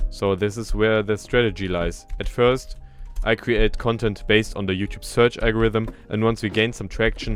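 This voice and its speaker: Man, German accent, young adult, gaming commentary, chatty, self-deprecating, mid-pitched voice, faint music behind. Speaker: gaming YouTuber. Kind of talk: casual gameplay commentary.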